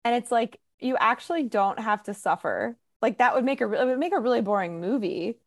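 A woman's voice: on the high side, lively intonation, a little fast, dry recording, clean studio sound. A woman hosting a podcast, talking casually and emphatically with strong opinions and a lot of "like". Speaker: podcast host. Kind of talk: casual conversational podcast talk.

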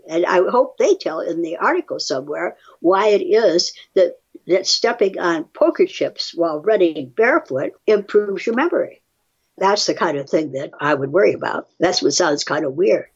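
Older woman, animated pitch, slightly husky. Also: normal speed. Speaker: guest reading and commenting on research studies. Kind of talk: reading aloud and casual explanatory chat. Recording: slightly dull, muffled sound.

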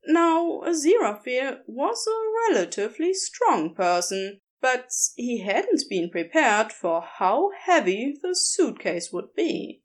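Female story narrator, higher-pitched, expressive and animated, very unhurried pace. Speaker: podfic narrator.

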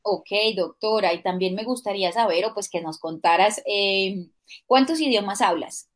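A woman's voice: mid-range voice, normal speed. A woman co-hosting a casual podcast interview, chatty and polite, asking questions with many fillers. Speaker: co-host.

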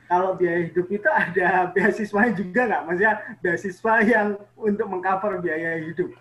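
A man's voice: sits high, normal speed, a bit bright. A man from Indonesia hosting a casual interview, friendly and full of fillers and repeated okays. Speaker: interview host. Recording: slightly dull lo-fi sound.

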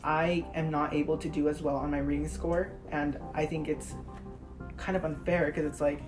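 Low-voiced teenage girl over music, native Spanish speaker. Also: little pitch movement, normal speed, rough recording. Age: teens, high school student. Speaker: podcast co-host. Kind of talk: casual chat.

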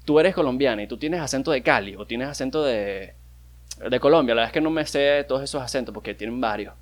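A man's voice: medium pitch, quick pace. A young man with a Venezuelan accent, hosting a casual solo podcast, rambling and joking as he talks, with loose swearing and many restarts. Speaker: podcast host.

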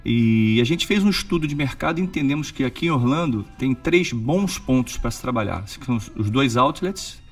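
A man from Rio de Janeiro with a Carioca accent, a shop owner calmly explaining his business in an interview, with hesitant fillers. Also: mid-range voice, normal speed, hardly any pauses, far from the mic, faint music behind. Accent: Carioca Portuguese. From Rio de Janeiro, Brazil. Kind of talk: interview answers.

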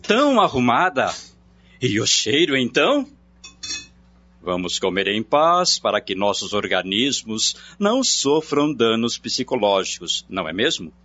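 An animated, evasive husband. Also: male voice, medium pitch, unhurried. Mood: falsely cheerful. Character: placating, evasive, wry husband. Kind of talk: radio soap acting. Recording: dull audio, faint hum and noise.

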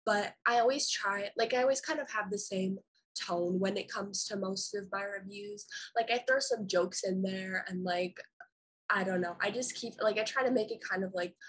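Young woman, husky, loose rambling chat delivery. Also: mid-range voice, rough recording. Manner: rambling, many "like" fillers. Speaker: songwriter in a discussion. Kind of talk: casual chat.

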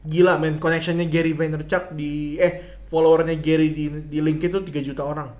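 A man's voice: a little high, level tone, fairly quick, darkish tone. A man in his twenties with a Jakarta accent, chatting casually and informally in colloquial slang. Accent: Jakarta Indonesian. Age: 20s. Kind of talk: casual chat. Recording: echoey room.

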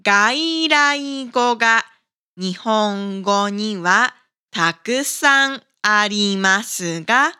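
A woman hosting a scripted language lesson for learners, speaking with careful, deliberate enunciation. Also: medium pitch, expressive, pushed and bright, clean tone. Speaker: language teacher and podcast host.

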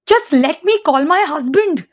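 A woman's voice: sits high, lively intonation, unhurried, a little hiss or hum. A woman acting as an agitated, pleading wife, stranded and desperate for a telephone, in an audio play.

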